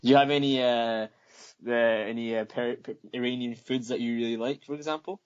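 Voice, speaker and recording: A man from Scotland asks casual interview questions in a mid-pitched voice, stumbling and repeating words as he goes. The sound is dull and lo-fi. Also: steady tone, a little fast, clean tone.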